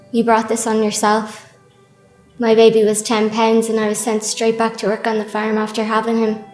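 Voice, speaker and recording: An actress playing a sorrowful teenage girl giving a measured, subdued first-person testimony. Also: fairly high pitch, darkish tone, echoey room, close to an everyday voice. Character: sorrowful teenage girl recounting mother and baby home.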